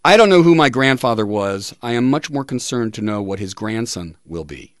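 A man hosting a radio talk show, reading aloud with pauses for emphasis in a studio, close to the microphone. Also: mid-range voice, expressive, no room sound. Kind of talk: reading listener tributes and quotes on a talk show.

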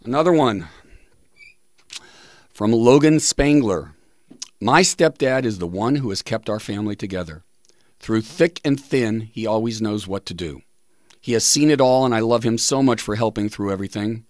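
Low-voiced male radio host, dry studio sound. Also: normal speed, darkish tone, dry recording. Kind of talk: reading listener tributes and quotes on a talk show.

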